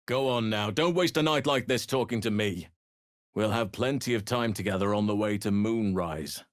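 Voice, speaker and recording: A man acts out an earnest druid healer from a fantasy game. His voice sits low and is recorded very dry. He speaks with solemn, measured formality, as a scripted companion character. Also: dry recording, natural voice. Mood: gentle. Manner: measured, grave, formal phrasing.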